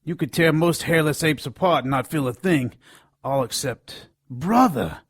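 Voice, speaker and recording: An actor playing a lecherous, sardonic gnome informant in an audio drama, leering and wisecracking in a gravelly voice. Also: male voice, a little high, a little slow, dry recording, studio quality. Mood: intense, sly.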